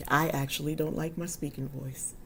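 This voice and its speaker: A husky-voiced woman in her forties hosting a casual livestream chat, talking warmly and loosely with her viewers with lots of ums. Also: sits low, steady tone, normal speed, a little hiss or hum. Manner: chatty and warm toward her viewers, frequent ums. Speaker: livestream host.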